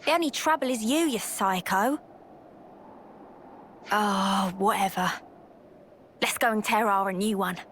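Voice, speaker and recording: An actress playing a blunt, no-nonsense soldier in a video game, curt, sardonic and exasperated. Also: female voice, mid-range voice, slow pace, pauses often, a bit bright, slight background noise. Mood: sardonic, irritated. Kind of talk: video game cutscene acting.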